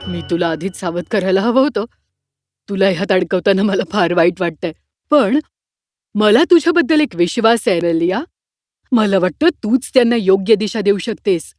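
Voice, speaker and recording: A woman voicing a concerned, apologetic and supportive schoolteacher in an animated fairy tale, speaking carefully. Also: mid-range voice, moderate pace, lightly acted.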